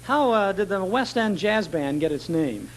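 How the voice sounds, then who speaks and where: A man's voice: high-pitched, lively intonation, moderate pace, a little hiss or hum. A man hosting a TV interview show, chatting in a folksy way with his guest. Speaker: TV show host.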